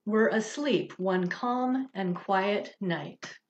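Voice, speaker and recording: A woman reading a children's story aloud in an expressive, deliberate way, on a dull recording. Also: mid-range voice, slight background noise. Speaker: religious education director reading a story. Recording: dull, lo-fi sound.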